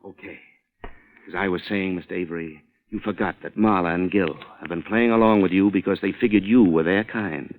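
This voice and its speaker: A man's voice: sits low, a little fast, narrow, tinny audio. A man playing a shrewd, defiant reporter in an old-time radio suspense drama, pointed and wry as he speaks. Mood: goading. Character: hard-boiled male reporter, shrewd, defiant, accusing. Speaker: lead actor and narrator. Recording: vintage radio broadcast sound.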